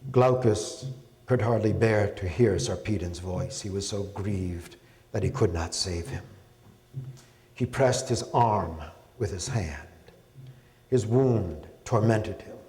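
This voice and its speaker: Slow, low-voiced man in echoing hall. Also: darkish tone. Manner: measured, deliberate, solemn phrasing. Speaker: professor and translator reading. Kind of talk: dramatic poetry recital, epic narration. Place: indoor hall, public reading. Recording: echoey hall with long reverb.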